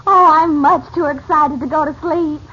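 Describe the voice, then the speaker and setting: A woman playing an excitable, eager young girl in a 1940s radio serial, gushing with breathless enthusiasm. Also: high-pitched, normal speed. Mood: excited, alarmed. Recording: muffled, noisy 1940s radio recording.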